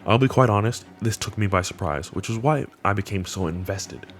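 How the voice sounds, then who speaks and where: A man's voice: on the low side, a little fast. A man giving casual, enthusiastic commentary on a horror web series, with slangy wording. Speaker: video host. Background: background music.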